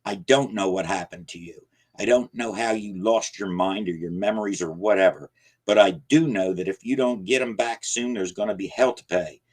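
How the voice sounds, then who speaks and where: A man voicing an aging rural man who scolds in rough, folksy grammar, angry and frightened. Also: on the low side, moderate pace, a little mellow, lightly acted, rough recording. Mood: urgent, scolding. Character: gruff aging backwoods man, scared and angry.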